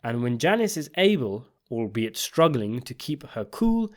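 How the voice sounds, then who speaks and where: A man's voice: mid-range voice, very animated, unhurried. A man delivering scripted video essay commentary on a TV series, in an emphatic, opinionated way. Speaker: video essayist and channel host.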